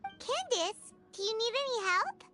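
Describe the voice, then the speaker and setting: A woman voicing a tiny, curious, blunt floating companion in a video game, with a squeaky childlike voice. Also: sing-song, unhurried, bright, forceful tone, faint music behind, exaggerated voice. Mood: concerned. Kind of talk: video game character acting.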